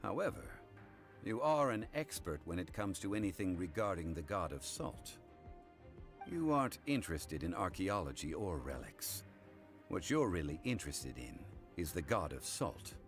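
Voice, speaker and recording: An actor playing a calm, learned, unyielding gentleman in a video game, speaking in a measured, formal way. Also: deep voice, faint music behind. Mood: composed, probing. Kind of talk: English game voice acting.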